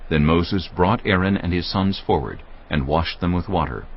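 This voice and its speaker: A man narrating a dramatized scripture reading in a measured, solemn, scripted manner. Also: low-pitched, dull audio, a little hiss or hum.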